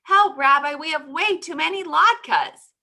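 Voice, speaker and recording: A woman's voice: high voice, a little slow, gravelly, bright, forceful tone, pitched above the performer's own voice, heavily acted. A woman storyteller voicing an earnest, literal-minded husband, eager and simple, in a folk tale. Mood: urgent.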